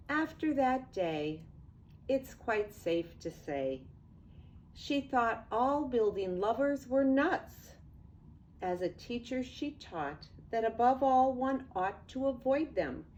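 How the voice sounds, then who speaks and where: An older woman, a grandmother, reading a children's storybook aloud in an expressive, deliberate way. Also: on the high side.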